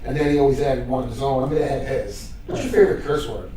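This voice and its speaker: A man's voice: medium pitch, quick pace. A man co-hosting a podcast, telling laughing, rambling stories in casual chat. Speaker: podcast co-host. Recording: echoey room from far off, noisy.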